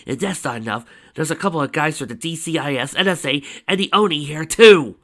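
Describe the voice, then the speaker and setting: A man's voice: medium pitch, a little fast, fairly bright tone, lightly acted. A man voicing an irritated, foul-mouthed law officer in a story reading. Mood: irritated, scornful.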